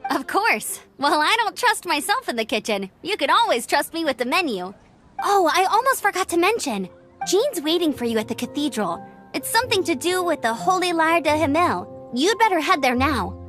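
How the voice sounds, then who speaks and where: A woman's voice: high voice, sing-song, normal speed, fairly bright tone, faint music behind. An actress playing a perky, earnest, friendly young woman knight in a video game. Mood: bright, chatty. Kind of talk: video game character acting.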